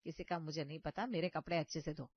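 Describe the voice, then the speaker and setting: A woman's voice: low-pitched, fast, breathy edge, no room sound, lo-fi sound. A woman voicing a stern, miserly mother-in-law in a comic story, bossy and demanding. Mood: scolding. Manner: scolding, bossy. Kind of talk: comic cartoon story voice acting.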